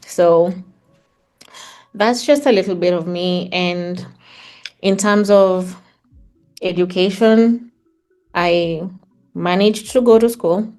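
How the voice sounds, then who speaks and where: A middle-aged woman with a Kenyan accent speaks in a slightly low voice. She tells personal stories reflectively, in a conversational way, as an interview guest. Faint music sits underneath. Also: takes it slowly, long pauses, darkish tone.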